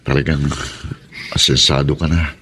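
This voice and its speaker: A broken husband in a radio drama, his voice deep and heavy with self-pity. He speaks haltingly, ready to sacrifice himself for his family. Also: level tone, bright, forceful tone, slight background noise. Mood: resigned. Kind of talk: Tagalog radio drama acting.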